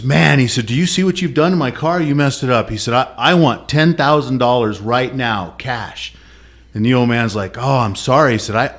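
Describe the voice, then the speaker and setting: A man on a casual podcast tells a long, rambling dad joke. He acts out the quoted lines as he goes. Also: mid-range voice. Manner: storytelling with quoted voices.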